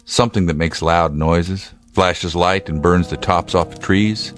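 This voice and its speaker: A state trooper with an extremely low voice, set in a radio drama. He is gruff, measured and protective, with a dry, laconic humor. Faint music sits behind his lines. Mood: wry, reassuring. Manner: measured, laconic, dryly wry. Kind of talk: naturalistic radio drama acting.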